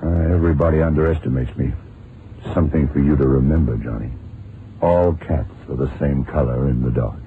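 A man's voice: a bass voice, brisk. An actor playing a cool, self-assured detective in a radio crime drama, unruffled and wry as he explains things. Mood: cool, dry. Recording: muffled noisy vintage radio drama recording.

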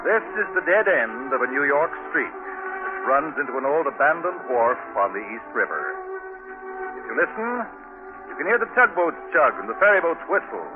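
Music plays behind a man on a narrow-band 1940s radio recording. He narrates a scripted drama in measured, descriptive fashion, his pitch rising and falling widely. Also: very animated.

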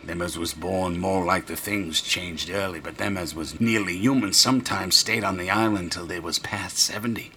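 A half-mad old New sailor in a radio drama. His voice is low-pitched and piercingly sharp, full of grammar, secrecy and fear. A faint ambience sits behind him. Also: normal speed, few pauses, slight background noise. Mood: secretive, eerie. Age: older. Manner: folksy, dropped grammar, dramatic whispers rising to shrieks. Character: rambling, half-crazed old drunken sailor, secretive and fearful.

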